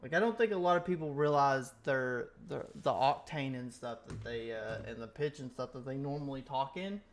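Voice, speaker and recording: A man's voice: mid-range voice, normal speed. A young man streaming a game, chatting casually and rambling in half-finished, self-correcting thoughts. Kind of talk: casual gaming stream chat.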